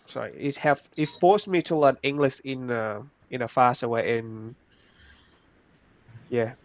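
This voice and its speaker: A young man from Vietnam with a Vietnamese accent, speaking English as a second language in a casual interview, halting, with many restarts. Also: mid-range voice, steady tone, no room sound. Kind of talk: casual reflective chat. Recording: slightly dull, dry recording.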